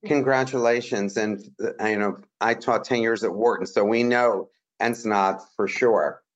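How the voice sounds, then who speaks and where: A man hosts a business interview show in a lowish voice, eager and enthusiastic but hesitant, full of fillers. His sound is dull and lo-fi, like a remote line. He praises and asks in a loose, chatty way. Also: fairly even intonation, measured pace. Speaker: podcast host. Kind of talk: interview host chat.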